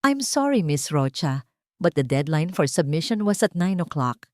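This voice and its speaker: A woman's voice: deep voice, big pitch swings, normal speed, a little mellow, dry recording, studio quality. A woman with a Filipino accent voicing a polite, formal, concerned female official in an audiobook drama. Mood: firm, apologetic.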